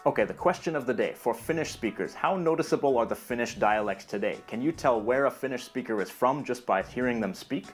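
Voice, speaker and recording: A man narrating a scripted educational video in a calm, even, explanatory way. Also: medium pitch, brisk, few pauses, faint music behind.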